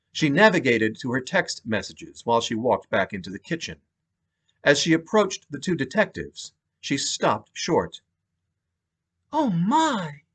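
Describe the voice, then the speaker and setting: An American man narrates his own fiction aloud as an author. His pitch is middling but moves widely, and his voice has a slightly rough, husky grain. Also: a bit bright. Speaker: author reading own novel. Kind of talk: scripted narration, reading aloud. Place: home basement.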